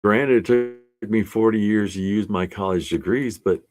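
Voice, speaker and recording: A man's voice: on the low side, steady tone, moderate pace. A man in his sixties speaking as an art resilience instructor, giving a casual, earnest and encouraging talk to his class in a plainspoken way.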